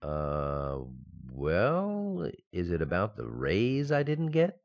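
An actor playing a nervous, earnest young married office worker in a radio sitcom, with a sheepish manner and slightly muffled sound. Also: male voice, deep voice, wildly swooping pitch, slow, darkish tone, no room sound, exaggerated voice.

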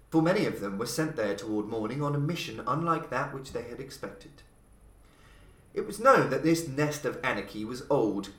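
Male podcast host, dramatic measured storyteller with a mid-range voice, recorded in a reverberant room. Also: mid-range voice, fairly bright tone. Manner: measured, theatrical storytelling with slow emphatic passages. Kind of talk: dramatic scripted narration of a horror story. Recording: roomy echo.

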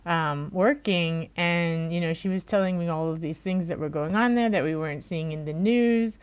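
A woman's voice: fairly low pitch, moderate pace, clear, resonant tone, dry recording. A woman in her forties from Florida, hosting a podcast interview in a casual, chatty way. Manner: casual, frequent "like" and "you know". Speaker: podcast host.

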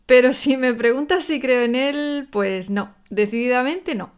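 A woman's voice: high voice, a little slow, clear, resonant tone. A woman playing a frank, contented young goddess of love in scripted audio fiction. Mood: matter-of-fact.

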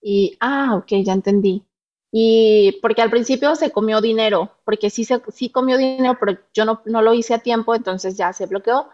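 A woman's voice: fairly high pitch, moderate pace, darkish tone. A Mexican woman with a Mexican Spanish accent, chatting casually as a guest and laughing often through her stories. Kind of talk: casual conversation. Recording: dull lo-fi sound.

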